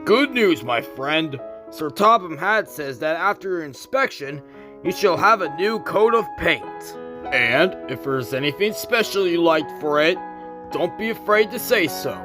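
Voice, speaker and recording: Gentlemanly, encouraging locomotive for a children's audio tale, male actor: lightly high pitch, measured and unhurried. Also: animated. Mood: cheery, encouraging. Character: courteous, encouraging male engine character, gently formal. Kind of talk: children's story audio drama. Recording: prominent music behind the voice.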